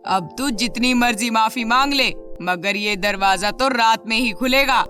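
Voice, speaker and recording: Woman as a harsh, domineering cartoon mother, sharp voice. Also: a little high, measured pace, faint music behind. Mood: stern, threatening. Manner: stern, threatening. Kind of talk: animated children's moral story.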